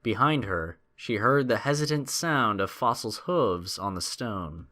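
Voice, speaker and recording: A man's voice: on the low side, lively intonation, a little slow, pushed and bright. A man narrating a scripted adventure story in a dramatic storytelling style.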